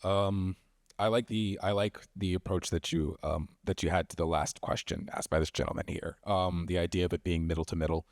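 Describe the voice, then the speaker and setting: A man from the audience with a very low, flat voice asks the panel a long question. He speaks loudly, wanders, and leans on ums as he goes. The sound is dry, with no echo. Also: fairly quick. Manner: loud, rambling, hesitant with ums. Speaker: audience member asking a question.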